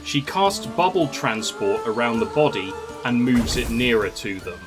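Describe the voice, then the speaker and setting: A man's voice: mid-range voice, measured pace. A man reading scripted story narration. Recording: music under the voice.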